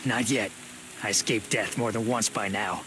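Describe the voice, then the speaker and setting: Actor as low, world-weary survivor. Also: male voice, fairly even intonation, normal speed, lightly acted. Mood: grim, wary. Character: world-weary, fatalistic male survivor, consoling friend. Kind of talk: video game dialogue. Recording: rain and ambient noise.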